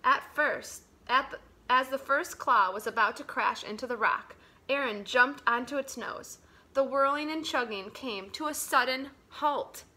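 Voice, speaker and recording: Bright, slow-paced woman reading a storybook. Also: sits high. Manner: dramatic, with hushed drops and emphatic swells. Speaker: teacher reading a picture book aloud. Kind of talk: expressive children's storybook read-aloud.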